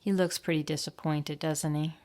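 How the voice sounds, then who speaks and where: A woman reading a picture book aloud to young children at a library storytime, expressively, pausing to ask them questions. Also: sits low, steady tone, a little mellow.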